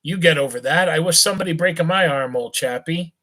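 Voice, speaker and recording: A man's voice: a little high, fairly quick, bright, forceful tone. A man voicing a drunk, rich young man of about eighteen, slurring and rambling in a thick voice. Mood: drunken rambling. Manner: slurring and hiccuping, lurching tipsy chatter.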